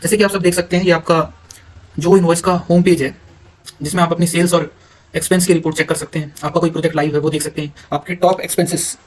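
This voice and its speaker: An Indian man giving an informal software walkthrough, halting at times and mixing English terms into his speech. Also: fairly high pitch, little pitch movement, rough recording. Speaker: software demonstrator. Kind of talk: informal screen-recorded tutorial walkthrough.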